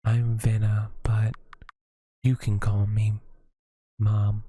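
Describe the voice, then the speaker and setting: A man voicing a lonely, motherly witch woman who lives alone in the woods, speaking gently and hesitantly with sighs. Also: on the low side, completely flat delivery, slow. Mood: tender. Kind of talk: audio roleplay acting.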